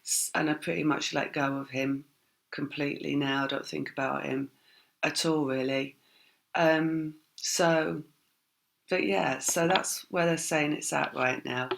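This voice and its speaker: Croaky, gravelly woman reading tarot. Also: sits low, steady tone, measured pace. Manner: rambling, reflective, many fillers. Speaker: tarot reader. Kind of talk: casual spiritual reading.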